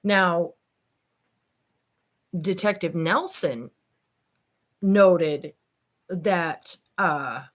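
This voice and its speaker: A woman's voice: on the low side, extremely slow, pauses often, slight background noise. A woman reading a transcript aloud and commenting informally, addressing listeners directly with skeptical asides. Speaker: online commentator and host.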